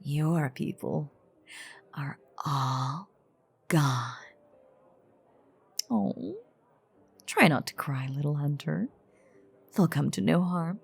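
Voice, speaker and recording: A woman playing an ancient, playful yet menacing female vampire in an audio drama, teasing in theatrical, old-fashioned phrasing with a husky, breathy voice. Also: low voice, full character voice. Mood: mocking, menacing. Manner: theatrical, teasing, archaic wording, deliberate pauses. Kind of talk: dramatic character audio roleplay monologue.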